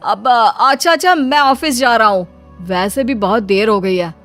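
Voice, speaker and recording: A woman's voice: fairly high pitch, normal speed, fairly bright tone, soft background music, natural voice. A woman voicing an evasive, mild adult son in a cartoon family drama, hesitant and placating. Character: awkward adult son, evasive and mild, caught between women.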